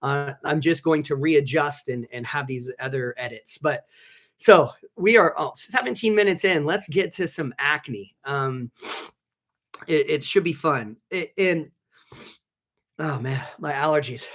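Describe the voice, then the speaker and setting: Slightly raspy man chatting on a live stream. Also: a little high, unhurried, a bit bright. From Southern California. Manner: rambling, many restarts and fillers, reads chat names aloud. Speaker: physician assistant and channel host. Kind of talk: casual live-stream chat.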